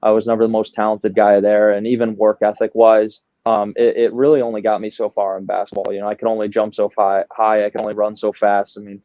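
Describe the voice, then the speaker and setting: A man's voice: fairly low pitch, flat delivery, quick pace, few pauses, no echo, dull audio. A young man from outside Chicago with a Midwestern American accent, talking casually and self-deprecatingly as a podcast guest. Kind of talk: casual interview talk.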